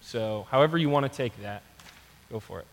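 A man's voice: mid-range voice, brisk. A man moderating a church panel in a casual, informal speaking style. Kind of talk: casual spoken hosting. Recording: echoey hall.

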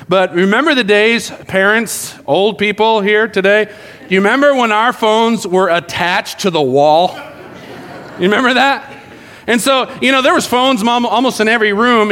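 Male pastor, conversational and jokey, slightly high voice, church reverb. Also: a bit bright. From South America. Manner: jokey, conversational, addresses congregation directly. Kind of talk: sermon. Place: church sanctuary.

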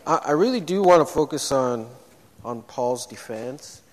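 A man with a low voice preaching a sermon in a church, speaking haltingly with frequent restarts. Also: lively intonation, darkish tone. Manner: halting, many restarts and fillers. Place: church sanctuary. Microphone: microphone. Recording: long church-hall reverb.

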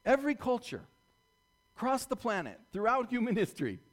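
A man's voice: very high voice, very animated, brisk. A man preaching a conversational sermon in a slightly scratchy voice, informal and discursive, often checking in with his listeners. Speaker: church preacher.

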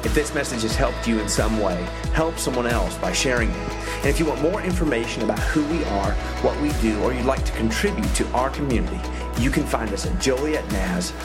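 A podcast announcer reads a scripted intro in a smooth, even voice at a middle pitch. Loud music plays behind the voice the whole time. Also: brisk.

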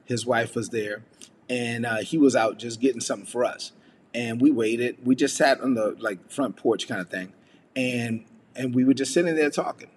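A man's voice: medium pitch, in a large hall, a little hiss or hum. A man from the United States giving a casual devotional message, talking conversationally with frequent fillers and rhetorical questions. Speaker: preacher.

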